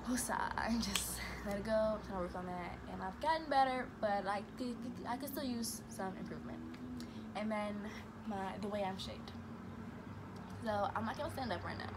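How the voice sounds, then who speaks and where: A young woman from Chicago chats through a casual get-to-know-me vlog. She talks quickly and stutters on some words, reading each question aloud before she answers. Her voice sits at a middle pitch, and steady room noise runs behind her. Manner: fast-talking with a stutter, reads questions aloud then answers. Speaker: vlogger. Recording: noisy room ambience.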